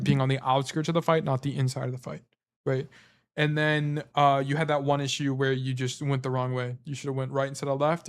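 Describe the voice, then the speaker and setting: A man coaching as a stream host, reviewing gameplay in a casual, rambling way while losing his voice. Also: mid-range voice. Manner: casual, rambling, filler-heavy explanations. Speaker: game coach.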